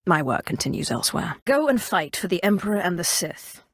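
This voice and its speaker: A menacing young Sith sorceress from a video game, pitched on the low side for a female voice. She is cold and commanding, speaking in clipped, imperious phrases with a dry sardonic edge. The recording is close and dry, with no room sound. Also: very animated, a little fast, a bit bright, no echo, exaggerated voice. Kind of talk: scripted video game dialogue.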